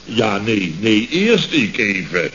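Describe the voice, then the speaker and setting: An actor playing a blustering, sarcastic construction boss in a radio comedy serial, repeating himself in exasperation, with a Netherlandic Dutch accent. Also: male voice, lowish voice, sing-song, slow pace, a bit bright, exaggerated voice. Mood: sarcastic, agitated. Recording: dull, noisy old radio recording.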